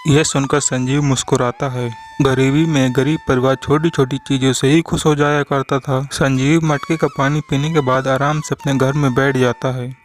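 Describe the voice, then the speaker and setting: Man, storybook narrator, cartoon script, even melody, background music. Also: medium pitch, moderate pace, few pauses.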